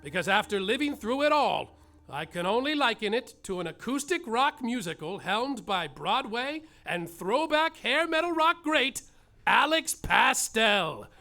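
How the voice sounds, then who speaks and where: Grandiose detective narrator, florid and slow, played by a man, live stage with music under him. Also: very high voice, very animated, pushed and bright, exaggerated voice. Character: grandiose adult male detective narrator, smooth and stoned.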